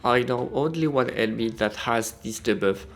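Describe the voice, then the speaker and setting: A man's voice: mid-range voice, normal speed. A man reading a scripted video game review as a voice-over. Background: music.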